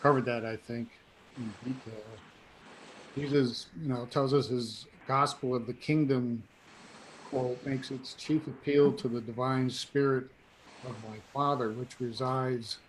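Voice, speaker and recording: A hoarse man taking part in a webinar over a video call, his voice quiet and trailing off. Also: mid-range voice, fairly even intonation, unhurried, slight background noise, rough recording. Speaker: webinar participant. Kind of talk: casual discussion on a video call.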